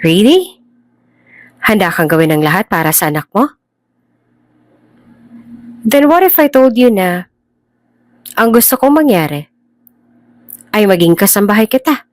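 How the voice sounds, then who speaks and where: A woman's voice: medium pitch, big pitch swings, dry recording. A woman with a Filipino accent voicing a smug, taunting, controlling male surgeon in a story, with teasing delivery. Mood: smug, commanding. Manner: teasing, deliberately drawn-out, code-switches to English. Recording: music bed behind the voice.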